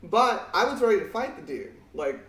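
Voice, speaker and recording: A woman with a fairly deep voice co-hosting a casual podcast chat, blunt and outspoken, swearing freely. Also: big pitch swings, moderate pace, a bit bright, far from the mic. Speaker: podcast co-host. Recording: echoey room, off mic.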